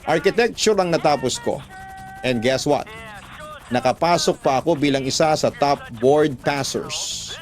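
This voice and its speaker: A man's voice: a little high, a little slow, faint music behind. A man with a Filipino accent reading a listener's love letter as a scripted first-person radio narration.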